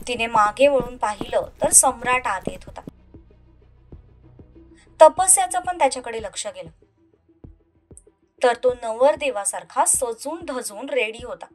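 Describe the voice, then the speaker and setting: A woman narrating a scripted, dramatic love story. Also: on the high side. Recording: music under the voice. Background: soft background music.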